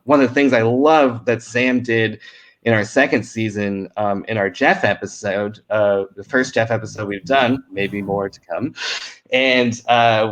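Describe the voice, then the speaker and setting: A man talking casually as a panel guest, in an informal way full of "like" and "you know". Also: mid-range voice. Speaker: audio drama creator, panel guest. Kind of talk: casual discussion of craft.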